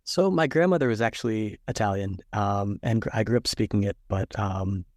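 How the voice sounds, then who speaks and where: A man in his thirties with a fairly low voice recounts his travels as an author guest. He talks easily and loosely, pausing on ums. The sound is dry with no room echo. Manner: relaxed, storytelling with ums. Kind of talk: interview answers.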